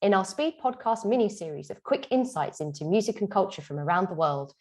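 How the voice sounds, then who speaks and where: A woman's voice: mid-range voice, lively intonation, brisk, darkish tone. A woman hosting a podcast interview, moving between prepared introductions and conversational questions. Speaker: podcast host.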